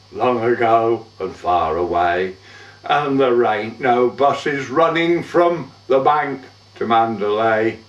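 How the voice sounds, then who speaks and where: A man giving a measured, theatrical recitation of a poem as a monologue, drawing out the ends of lines. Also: fairly low pitch. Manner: measured, theatrical, drawn-out line endings. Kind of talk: dramatic poetry recitation, monologue. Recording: dull, echoey room, far from mic.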